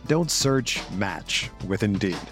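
A man's voice: lowish voice, animated, slow pace, fairly bright tone, faint music behind. A man reading a scripted advertisement as an announcer. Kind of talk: scripted ad read.

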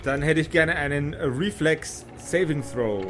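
A man's voice: a little high, a little slow, a bit bright, soft background music. A man running a tabletop game as game master, improvising narration for the players.

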